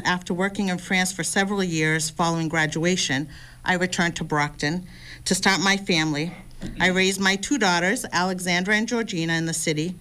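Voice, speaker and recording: A composed woman in her fifties whose first language is Arabic reads a prepared statement. Her voice is a little low. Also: fairly bright tone. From Lebanon. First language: Lebanese Arabic. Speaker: city councillor and candidate. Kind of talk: prepared debate opening statement.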